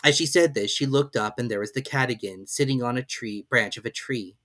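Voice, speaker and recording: A reader giving an expressive scripted narration of a children's storybook. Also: medium pitch, fairly quick. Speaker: audiobook reader.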